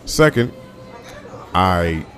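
Deep-voiced man rambling on a podcast. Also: very animated, extremely slow, soft background music, some chatter behind. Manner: rambling, full of restarts and fillers. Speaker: podcast host. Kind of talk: casual solo podcast talk.

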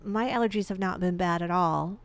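A young woman with a congested, blocked-nose voice chats at length on a podcast. Her tone is smooth and clean, recorded close and dry. She rambles easily from one story to the next. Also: fairly low pitch, brisk, no room sound. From Michigan. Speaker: podcast co-host. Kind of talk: casual chat.

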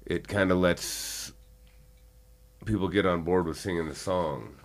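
A man's voice: low-pitched, unhurried. A man in a band explaining thoughtfully and earnestly during a casual interview.

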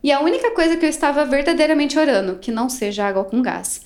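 Animated, high-voiced Brazilian woman. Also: quick pace. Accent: Brazilian Portuguese. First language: Portuguese. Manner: animated, acts out quoted voices. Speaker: podcast guest, English teacher. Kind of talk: casual storytelling chat.

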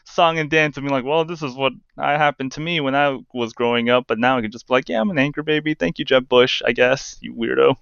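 A man's voice: medium pitch, fairly even intonation, fast talker, creaky, few pauses, a bit bright, clean tone, no echo, lo-fi sound. A man around thirty, a guest in a casual interview, who talks in a wry, rambling way and fills his speech with "uh" and "like.". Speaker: guest, games news editor.

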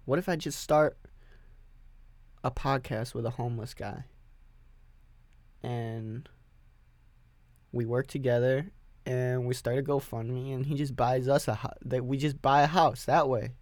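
A man's voice: mid-range voice, fairly even intonation, a little slow, long pauses, darkish tone, no echo. A man hosting a solo podcast, rambling and joking with frequent swearing. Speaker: podcast host. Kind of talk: casual solo podcast rant.

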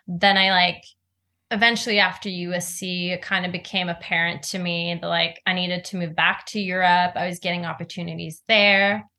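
A bright, clear-toned woman in her thirties, from Norway, talking as an interview guest. She chats loosely and animatedly, peppering her story with "like" and easy laughter. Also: on the low side, little pitch movement, moderate pace. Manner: chatty, full of "like", laughs easily. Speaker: actor guest. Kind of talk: casual interview chat.

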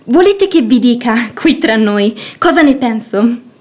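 An actress playing a sharp-tongued, outspoken young maidservant in a theatrical radio play, with a sardonic, pointed delivery. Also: female voice, on the high side, animated, measured pace. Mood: skeptical, insinuating.

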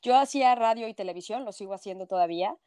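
A woman in her thirties from Mexico City, with a sing-song Mexico City accent, talking as a journalist guest in a lively, expressive way and often adding tag questions. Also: mid-range voice, quick pace, clear, resonant tone, no echo, studio quality. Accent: Mexico City Spanish, sing-song. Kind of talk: interview.

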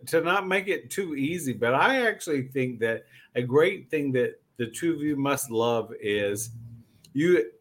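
Slightly high-voiced male podcast host. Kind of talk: casual interview chat.

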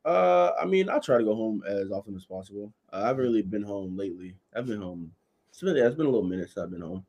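A man's voice: on the low side, animated, quick pace, vocal fry, darkish tone. A 21-year-old man from Florida, a college football player, chatting casually as a podcast guest, with a laid-back, filler-heavy way of talking.

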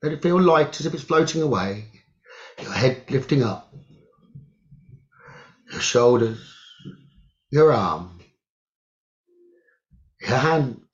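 A man leading a guided meditation, giving gentle instructions in short phrases with long pauses, on a muffled recording. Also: mid-range voice, animated. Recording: muffled, dull lo-fi audio.

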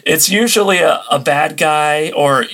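A man's voice: on the high side, measured pace, fairly bright tone. A man talking as a podcast guest and enthusiast, explaining and telling stories in casual chat. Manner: conversational storytelling. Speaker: podcast guest, old-time radio enthusiast.

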